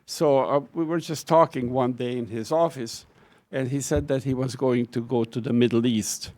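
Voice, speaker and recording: A man's voice: mid-range voice, fairly even intonation, moderate pace, darkish tone. Older Hungarian-accented man, wry storyteller. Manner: anecdotal, wry self-deprecating humor. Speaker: retiring professor. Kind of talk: personal reminiscence at a lecture event. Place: lecture hall with an audience.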